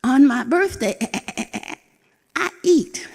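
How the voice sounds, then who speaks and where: A woman voicing a wily old female nanny goat who bluffs in a high, crafty, boastful voice. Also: animated, slow, vocal fry, darkish tone, heavily acted. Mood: boastful, sly. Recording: hall reverb.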